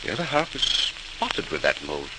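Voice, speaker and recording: A man's voice: very deep voice, wildly swooping pitch, moderate pace, full character voice. A man playing an earnest, dedicated middle-aged scientist in 1940s radio drama style, speaking like a lecturer thinking aloud, on a crackling old recording. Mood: puzzled, excited. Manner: lecturing aloud, muttering to himself while working.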